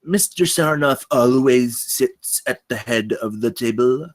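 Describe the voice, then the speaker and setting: Man acting a measly, officious little businessman, slow-spoken. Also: mid-range voice, close to an everyday voice. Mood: flatly insistent. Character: measly little 38-year-old businessman, stubbornly officious underling.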